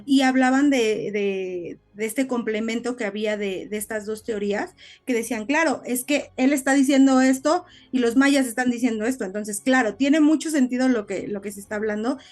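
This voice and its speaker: A woman's voice: a little high, moderate pace, darkish tone. A woman with a Mexican accent co-hosting a casual podcast, talking in a rambling, searching way. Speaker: podcast co-host.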